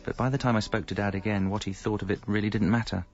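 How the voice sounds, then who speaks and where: A man's voice: fairly low pitch, steady tone, quick pace, close to an everyday voice. An actor playing a middle-aged British married man who confesses his double life in a wry, articulate, self-mocking way, as radio comedy narration. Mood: wry, confessional. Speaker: narrator and lead character. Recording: dull lo-fi sound, faint music.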